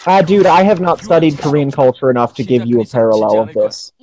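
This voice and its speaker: A man chatting casually on a gaming livestream in a rambling, excitable, sweary way. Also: medium pitch, brisk. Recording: music behind the voice. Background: music.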